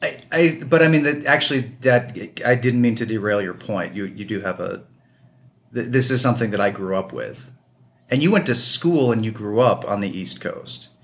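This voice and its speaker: A man's voice: fairly low pitch, expressive, moderate pace, a bit bright. A man from the United States hosting a podcast, talking in a teasing, joking way. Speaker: podcast host. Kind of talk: casual chat.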